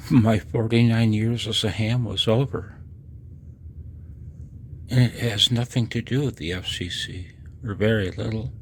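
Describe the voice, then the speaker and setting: A man in his sixties giving a personal voice-over announcement, halting and reflective, with a husky low voice. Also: steady tone, slight background noise. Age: 60s. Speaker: amateur radio hobbyist and video narrator. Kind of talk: personal voice-over announcement, partly read from own text.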